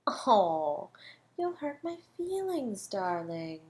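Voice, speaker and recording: A suave, flirtatious female murder suspect played by a woman in an audio roleplay. She is mocking and condescending, stretching words theatrically and slipping into breathy asides as she toys with her listener. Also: mid-range voice, big pitch swings, extremely slow. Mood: flirty, teasing. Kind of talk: scripted audio roleplay monologue.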